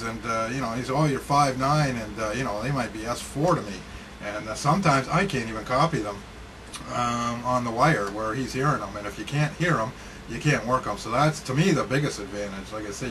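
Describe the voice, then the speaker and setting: Ham radio guy, mid pitch, plain halting chat, noisy recording. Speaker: amateur radio operator.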